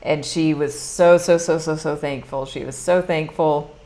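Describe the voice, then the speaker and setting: A woman's voice: deep voice, steady tone, measured pace, mellow. A middle-aged American woman telling a personal story in a casual live talk, chatty and earnest, with many restarts. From United States. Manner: earnest, confessional. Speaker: money mindset coach.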